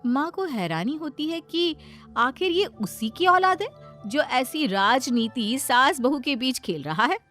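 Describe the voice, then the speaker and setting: A woman narrates a cartoon family story in a high voice. She reads as a scripted storyteller, with music playing underneath. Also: lively intonation, a little slow, sharp tone. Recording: music behind the voice.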